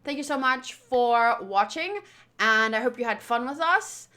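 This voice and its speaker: High, bright-voiced woman chatting on stream. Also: a little slow. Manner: playful, teasing, animated. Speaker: streamer hosting a game playthrough. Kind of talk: casual livestream chat to viewers.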